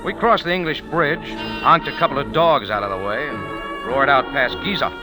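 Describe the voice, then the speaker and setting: A man's voice: medium pitch, moderate pace, close to an everyday voice, low-quality recording. An actor playing a wry, tough American cafe owner and sleuth, telling his story in punchy hardboiled radio-drama style. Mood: wry storytelling. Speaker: lead character and narrator. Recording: old radio recording with background music.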